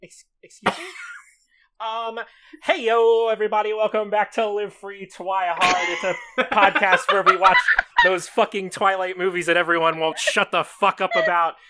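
A woman's voice: mid-range voice, level tone, moderate pace, pushed and bright, a little hiss or hum. A woman co-hosting a comedy podcast, joking and giggly with a playful drawl. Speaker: podcast co-host. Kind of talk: casual comedic chat.

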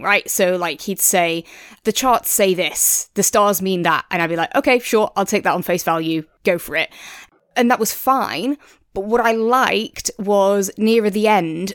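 A woman's voice: mid-range voice, clear, resonant tone, no echo, studio quality. A woman co-hosting a podcast, talking through a review in an agreeable, thoughtful, conversational way. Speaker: podcast co-host reviewing audiobooks. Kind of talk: casual discussion.

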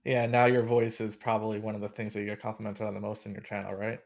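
A man's voice: fairly low pitch, completely flat delivery, fast, creaky. A young man hosting a podcast, opening with a read intro and then asking casual questions. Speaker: podcast host. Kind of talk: podcast interview.

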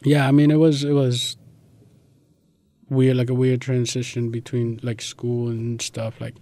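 Young male interviewee from Guatemala, mid-pitched, informal and slangy, recorded dry. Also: level tone, unhurried, dark tone, no room sound. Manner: informal, slangy, peppered with "like" and "you know". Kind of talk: casual conversational interview.

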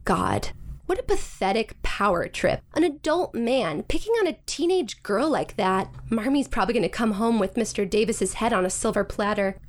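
An actress playing an outspoken, sarcastic, hot-tempered young sister in a modern audio drama, with a blunt, dramatic, slangy delivery. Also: female voice, on the high side, lively intonation, normal speed. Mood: irritated.

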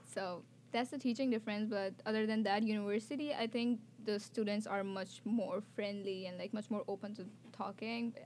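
A young woman from Pakistan, a student guest on a college radio show, speaking in a relaxed, thoughtful way with many filler words. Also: mid-range voice, moderate pace, hardly any pauses, slight background noise. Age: young adult. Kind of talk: casual radio interview. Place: radio studio.

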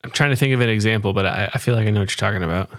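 A man's voice: fairly low pitch, very fast, a bit bright, no echo, studio quality. A man hosting a casual interview, asking inquisitive questions and listening attentively. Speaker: podcast host, interviewer.

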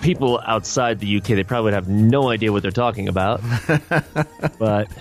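A man's voice: fairly low pitch, fairly quick, soft background music. An American man co-hosting a podcast, chatting casually and joking with his co-host. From United States. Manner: animated, joking, laughs. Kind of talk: casual chat.

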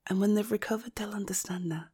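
A woman acting as a loyal, protective friend in an intimate audio roleplay, speaking earnestly and emotionally with halting, emphatic phrasing. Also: mid-range voice, mellow, husky, close to an everyday voice. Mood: gentle, reassuring.

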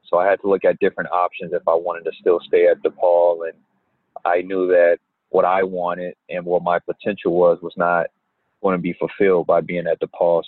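A young man and basketball player from Indiana who answers interview questions in an unhurried way, with many pauses. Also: sits low, dry recording. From Gary, Indiana. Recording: tinny phone-like line.

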